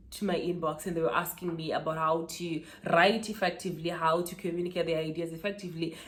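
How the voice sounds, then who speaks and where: Woman, Shona speaker, emphatic motivational delivery, repeats words for stress, fairly low voice. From Zimbabwe. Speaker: author and speaker. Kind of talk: motivational talking-head vlog.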